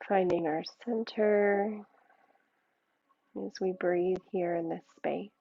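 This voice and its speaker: A woman yoga teacher leading a guided meditation in a soothing, unhurried way, with gentle pauses between short phrases. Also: on the low side, steady tone, creaky, dry recording, lo-fi sound.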